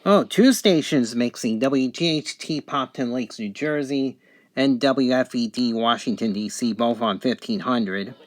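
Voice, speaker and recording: A man's voice: medium pitch, a little slow, no room sound. A man giving a casual, matter-of-fact review, calling out radio station names and towns piece by piece. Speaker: radio reviewer, channel host. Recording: home recording with faint background noise.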